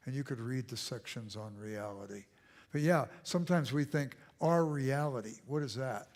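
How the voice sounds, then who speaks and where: Guy speaker at a church, emphatic, repeats lines for rhythm, goes almost whispery sometimes. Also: mid-range voice. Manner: emphatic, repetitive cadence, dropping to a near whisper for emphasis. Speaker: guest speaker. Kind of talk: church lecture with audience questions. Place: church auditorium.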